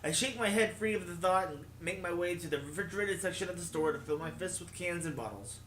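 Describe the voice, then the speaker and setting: A man hosting a let's play, reading a visual novel aloud as expressive narration and occasionally rereading a line. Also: high voice, fast talker, slight background noise. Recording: echoey room, music behind. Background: game music.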